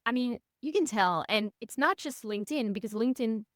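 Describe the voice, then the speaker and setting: A woman's voice: on the high side, fairly quick, no room sound, clean studio sound. A young adult woman from Belgium who speaks English as a second language, chatting animatedly as a podcast guest. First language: not English. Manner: animated, laughs easily, says "I feel like" often. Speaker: podcast guest, personal brand strategist. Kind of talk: casual interview chat.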